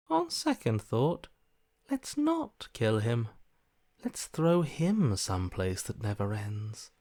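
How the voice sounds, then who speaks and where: Cold bored game character, slow deadpan delivery, totally detached and aloof. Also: male voice, medium pitch, no room sound, clean studio sound, heavily acted. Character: cold, detached, bored male inner voice indifferent to death. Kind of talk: video game character voice acting.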